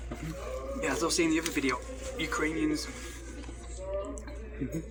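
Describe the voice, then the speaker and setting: A man's voice: a little high, fairly even intonation, normal speed, a loud crowd. A British man vlogging casually while eating, rambling and opinionated with lots of restarts. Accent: British English. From Britain. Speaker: travel vlogger.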